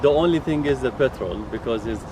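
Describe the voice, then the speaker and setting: A man's voice: mid-range voice, animated, brisk. A man from Iraq, a native Arabic speaker with an Iraqi accent, chatting eagerly about his car outdoors in slightly broken English. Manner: enthusiastic, broken phrasing. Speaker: car owner. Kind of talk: casual car chat. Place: outdoors beside a parked car. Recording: outdoor street noise.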